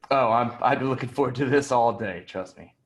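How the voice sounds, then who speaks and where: A man playing a polite, slightly uneasy job applicant in improvised sketch comedy, speaking haltingly. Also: medium pitch, close to an everyday voice. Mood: eager, polite. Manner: hesitant, halting.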